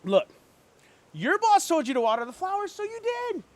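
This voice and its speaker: A man's voice: very high voice, huge pitch swings, moderate pace, exaggerated voice. A man acting a cocky, teasing twin brother who is flippant and unfazed by jail in an amateur drama reading.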